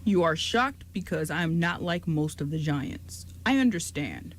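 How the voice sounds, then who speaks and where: Actor voicing lonely gentle male giant, polite, caring, deliberate, medium-pitched, amateur radio play, faint background noise. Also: lively intonation, close to an everyday voice. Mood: lonely, earnest. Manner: careful, formal, deliberate phrasing.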